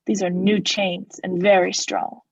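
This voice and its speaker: A woman's voice: medium pitch, level tone, measured pace, gravelly, slightly rough, no room sound, muffled recording. A woman acting a cold, vengeful murderer in a radio play, speaking with formal, sardonic politeness as he mocks his victim. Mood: mocking, menacing.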